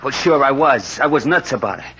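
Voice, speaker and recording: A man's voice: medium pitch, expressive, a little fast, a bit bright, husky. An actor playing a menacing, sardonic male racketeer in an old-time radio crime drama, talking in a tough, slangy sneer. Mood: bitter, accusing. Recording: noisy, dull old radio recording.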